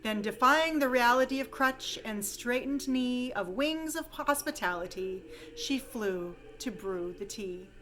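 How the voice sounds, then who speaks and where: A woman's voice: on the high side, a little slow, hardly any pauses, fairly bright tone, rough recording. Woman reciting a story poem, faint background noise. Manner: dramatic, storytelling delivery with varied emphasis. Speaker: poem reader. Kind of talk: expressive recitation of a rhyming holiday story poem.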